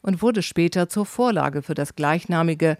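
A man reading scripted documentary narration for a travel report, measured and descriptive. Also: fairly high pitch, no room sound, clean studio sound.

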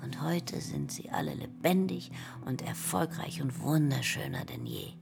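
A chatty, earnest middle-aged woman in a radio drama. Her voice is very low with a creaky grain. She talks emphatically and tries to reassure. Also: wildly swooping pitch, a little slow, whispered, over music, exaggerated voice. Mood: earnest, encouraging.

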